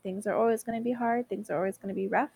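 A woman's voice: mid-range voice, fairly even intonation, racing, vocal fry, a little mellow, slightly rough. A woman in her twenties co-hosting a podcast, teasing her friends, giggling and asking the group questions. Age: 20s. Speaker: podcast co-host. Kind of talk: casual chat.

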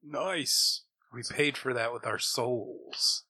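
A man chats as a player in a tabletop game. His voice sits at a middle pitch with a bright, forward tone, and his delivery is casual and jokey. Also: takes it slowly, slightly rough, dry recording. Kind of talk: casual joking table chat.

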